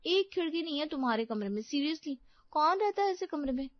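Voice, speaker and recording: A spoilt young woman in a storybook drama , acted by a woman, speaking in a high, fussy, gushing voice. She sounds entitled and demanding, recorded dry and a little dull. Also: expressive, a little fast, no room sound. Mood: fussy, indignant. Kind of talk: Hindi audio story acting.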